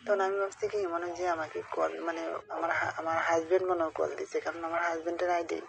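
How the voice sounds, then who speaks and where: A woman's voice: lowish voice, level tone, fast talker, creaky, a crowd in the background. A woman with a Bangladeshi accent chatting confidingly on a phone call, rambling and often checking that her friend follows. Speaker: caller telling a friend a personal story. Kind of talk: casual confiding phone chat. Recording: phone call recording, faint noise.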